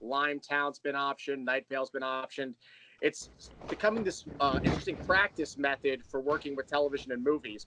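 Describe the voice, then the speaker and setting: A man's voice: mid-range voice, pushed and bright, no echo, poor audio. A man speaking casually as an interview guest, explaining his creative work.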